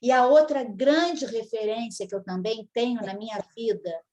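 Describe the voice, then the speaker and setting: A woman's voice: a little high, moderate pace, a little mellow. Effusive, emotional middle-aged woman. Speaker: guest speaker and trainer. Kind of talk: lecture opening.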